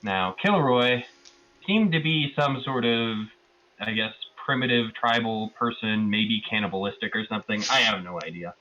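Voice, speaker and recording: A man's voice: on the low side, normal speed, highs cut off, slight background noise. A man giving a casual, rambling and amused recap of a tabletop roleplaying session as one of the players.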